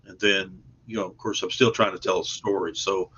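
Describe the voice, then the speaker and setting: Male interview guest, lower register, earnest and folksy, casual delivery, dull online-call recording. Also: level tone, brisk, bright, forceful tone, breathy edge. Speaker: guest, comic book artist and writer.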